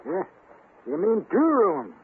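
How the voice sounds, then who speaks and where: A man's voice: high voice, wildly swooping pitch, slow, narrow, tinny audio, exaggerated voice. A man playing a nervous, evasive American frontier townsman in a radio western, stammering and flustered. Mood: wary. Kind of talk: old-time radio western drama acting. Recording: thin, hissy old radio broadcast recording.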